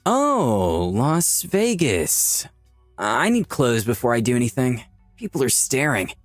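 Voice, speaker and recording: A man playing a bewildered but smooth-talking young man in a visual novel, earnest and polite. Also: mid-range voice, wildly swooping pitch, slow, soft background music. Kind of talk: visual novel character acting.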